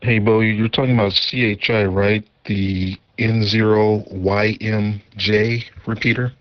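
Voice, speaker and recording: A man's voice: fairly low pitch, flat delivery, measured pace. A man talking casually as a radio operator on a two-way channel. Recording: noisy, muffled two-way radio transmission.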